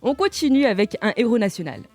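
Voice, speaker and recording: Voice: mid-range voice, very animated, fast, loud music behind the voice. A radio columnist presenting manga in a casual chat, with playful jokes to the listeners. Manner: playful, jokey asides to the audience. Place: radio studio.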